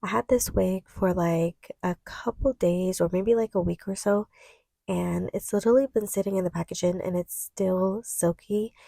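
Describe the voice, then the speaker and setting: A woman vlogger chats informally to her viewers in a lowish, creaky voice. She sounds a little congested, as if getting over a cold, and gushes casually over the product. The sound is dry, with very little room. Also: fairly even intonation, moderate pace, warm tone, no echo. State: slightly stuffy, recovering voice. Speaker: beauty vlogger reviewing wigs.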